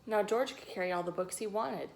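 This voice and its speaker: A woman reading a picture book aloud to young children, expressively, pausing to ask them questions and add her own asides. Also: medium pitch, gravelly, low-quality recording. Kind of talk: read-aloud storytelling with asides to young listeners.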